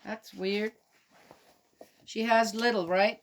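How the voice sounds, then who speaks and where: A woman from Switzerland talks slowly and gently to little ones, the way someone reads a bedtime story. Her voice sits at an ordinary middle pitch, unhurried and easy, with pauses to chat between pages. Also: steady tone. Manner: gentle, chatty, talks directly to young listeners. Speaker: children's storyteller. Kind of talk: reading a picture book to children.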